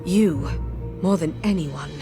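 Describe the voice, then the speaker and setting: Solemn woman warrior over music. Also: low voice. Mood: earnest, urgent. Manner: formal, measured, earnest delivery. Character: solemn, loyal woman warrior sworn to her queen. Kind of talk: dramatic video game cutscene acting.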